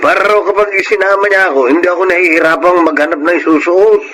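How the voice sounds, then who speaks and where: A man playing a rough-edged, blunt young man with a Filipino accent in a radio drama, talking in colloquial style. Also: sits high, fast, lightly acted. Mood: cheeky. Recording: noisy radio drama recording.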